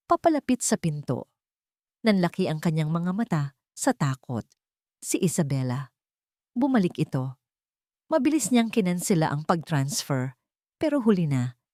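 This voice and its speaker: A woman's voice: lowish voice, mellow, no room sound, clean studio sound. A woman reading a scripted romantic suspense story as a dramatic narration.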